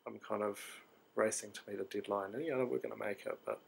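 A man's voice: lowish voice, fairly even intonation, quick pace, creaky, husky. A man, an artist rambling through a sleepy, hesitant video diary full of ums and restarts. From New Zealand. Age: 30s.

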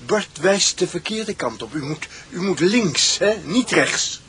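An unhinged petrol station attendant in an old radio thriller. His voice is high and very animated, repeating itself obsessively. The recording is clearly noisy. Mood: hesitant, polite. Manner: halting, repetitive, agitated. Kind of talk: Dutch radio play acting.

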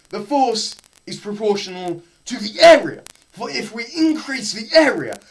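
A young man giving a dramatised lecture read aloud, theatrical and emphatic, with deliberate pauses, in a small room. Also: very high-pitched, a bit bright, low-quality recording. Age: teens to young adult. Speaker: presenter. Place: small bare room indoors. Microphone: camera a short distance away.

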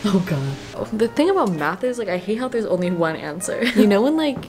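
A woman's voice: mid-range voice, sing-song, brisk, darkish tone. A 19-year-old woman from Canada with a North American accent, chatting casually to a handheld camera in a slangy, candid, self-deprecating way. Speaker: vlogger, high school student. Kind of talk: casual chatty daily vlog. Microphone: handheld camera at arm's length.

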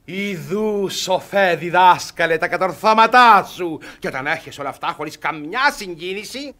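A man's voice: high-pitched, lively intonation, pushed and bright. A man playing a grumpy, domineering older brother in a radio theatre comedy, sarcastic and exclamatory. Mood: mocking.

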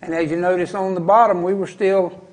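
A high-voiced man with a Southern American accent hosts a live shopping show. He chats in a folksy, unhurried sales style, wandering a little as he goes. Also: steady tone, low-quality recording. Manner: folksy, casual, rambling. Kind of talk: chatty live sales pitch.

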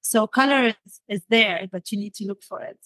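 A woman talks in a thoughtful interview style at a middle pitch, hesitating as she searches for words. The recording is dry, with very little room sound. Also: normal speed, sharp tone, no echo, low-quality recording. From Damascus, Syria. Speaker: podcast guest, designer.

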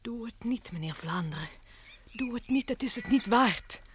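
A woman's voice: on the high side, lively intonation, moderate pace, a bit bright. An actress playing an anxious, evasive young nightclub woman in an old radio play, pleading and hesitant. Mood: worried, pleading. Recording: noisy vintage radio recording.

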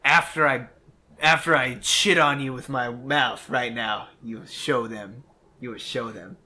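A man's voice: medium pitch, steady tone, measured pace, bright, forceful tone, a little hiss or hum. A man casually bantering as co-host of a gameplay video, blunt and often swearing. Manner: profane, blunt. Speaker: let's play co-host. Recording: music under the voice. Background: game music.

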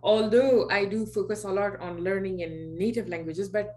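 Woman host on a webcam call, mid-pitched, piling up questions between ums and likes. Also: steady tone, normal speed, mellow, soft background music. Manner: asks several questions at once, hesitant fillers. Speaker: interviewer, series host. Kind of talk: casual video call interview. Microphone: video call webcam.

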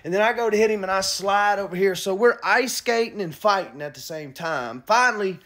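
A man in his thirties from New Orleans, casually telling a story to a phone held at arm's length in a small bedroom, acting out the moves with drawn-out emphasis. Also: high-pitched, a bit bright. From New Orleans, Louisiana. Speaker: rapper vlogger.

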